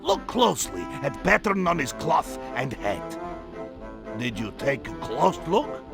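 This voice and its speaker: Eccentric Russian-accented circus master, wildly swooping pitch. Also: male voice, wildly swooping pitch, measured pace, creaky, loud music behind the voice, exaggerated voice. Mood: theatrical, welcoming. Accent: Eastern European, Russian-style. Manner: theatrical, broken English without articles. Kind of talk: animated video game character acting.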